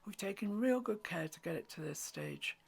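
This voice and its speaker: A woman with a croaky voice, teaching a watercolour painting tutorial in soft, often half-whispered instructions. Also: mid-range voice, animated, moderate pace. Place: home room with a mantelpiece.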